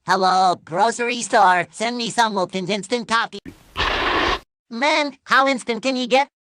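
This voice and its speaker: A performer voicing a pushy, cheerfully menacing cartoon character who pitches like a salesman in short comedy ad skits. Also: measured pace, a bit bright, dry recording, natural voice. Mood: eager.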